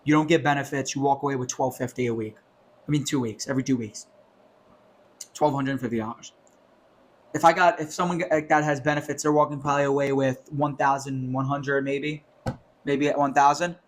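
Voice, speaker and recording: A man's voice: mid-range voice, fairly even intonation, a little fast, a little hiss or hum. A young man from New York on a casual podcast, ranting animatedly about money and swearing often. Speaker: podcast co-host.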